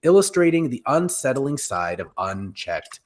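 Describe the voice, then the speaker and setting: A man with a mid-pitched voice chats as co-host of a casual online show. He is jokey and animated, starting and restarting his sentences as he goes. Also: crowd noise. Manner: jokey, animated, halting with self-interruptions. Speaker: co-host of a pop culture live show. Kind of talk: casual panel chat. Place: home room, indoors, video call.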